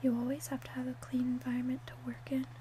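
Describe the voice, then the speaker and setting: A woman softly half-whispering in an ASMR roleplay as a calm, helpful witch, with a gentle, deliberate delivery. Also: high-pitched, slight background noise. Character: calm, helpful female witch selling love potions.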